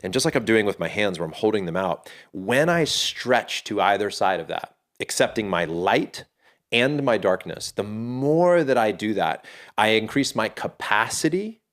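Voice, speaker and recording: Middle-aged male guest, very low voice, earnest, thinks aloud with restarts. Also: expressive, normal speed, fairly bright tone. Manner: earnest and reflective, with frequent restarts. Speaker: podcast guest, fatherhood community founder. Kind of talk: casual interview talk.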